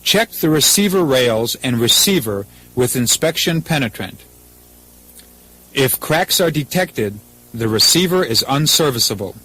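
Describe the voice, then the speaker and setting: A man's voice: mid-range voice, fairly bright tone, poor audio. Formal American man narrating a training film. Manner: formal, impersonal, methodical. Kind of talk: scripted instructional narration, 1980s training film.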